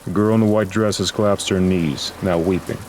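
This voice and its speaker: A man's voice: low-pitched, fairly even intonation, a little fast, darkish tone, slight background noise. A man reading scripted noir horror narration as a grave, dramatic storyteller. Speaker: narrator and voice actor. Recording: music and rain behind voice.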